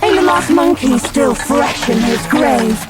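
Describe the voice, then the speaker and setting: High-voiced, mocking spider demoness. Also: female voice, a little slow, loud music behind the voice. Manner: sing-song mockery. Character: sardonic, taunting female spider demon. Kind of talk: video game cutscene acting.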